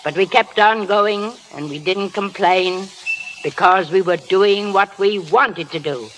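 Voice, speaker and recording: A woman's voice: mid-range voice, unhurried, close to an everyday voice. An actress playing a feisty old pioneer grandmother, stern but loving, with an American accent, in 1930s radio style. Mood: stern, impassioned. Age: older. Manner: forceful, exhorting. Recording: hissy, crackly old radio recording.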